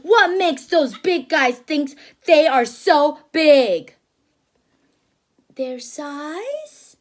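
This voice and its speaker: A large, protective male elephant from a picture book, voiced by a woman in her own register. He sounds earnest and indignant, with a high voice and a slow, deliberate delivery. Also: very animated, exaggerated voice.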